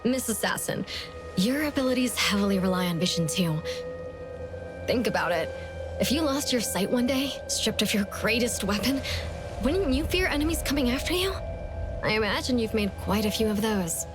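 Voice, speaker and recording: An actress playing a wry, world-weary female hunter in a game, composed and deliberate in her speech. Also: fairly high pitch, lively intonation, music in the background, lightly acted. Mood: calmly pointed. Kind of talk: game character dialogue.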